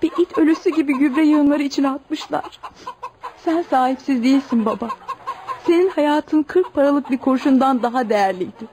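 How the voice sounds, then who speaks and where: A woman's voice: high voice, normal speed, dark tone, slight background noise, lightly acted. An actress playing a defiant, resolute young village woman orphaned and grieving, in an earnest, declamatory radio-theatre style. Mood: grieving, vowing.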